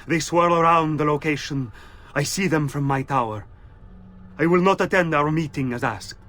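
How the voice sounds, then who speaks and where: An actor voicing a crazed, paranoid priest in a video game, raspy and declaiming like a zealous preacher. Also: male voice, on the high side, fairly bright tone. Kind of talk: video game dramatic acting.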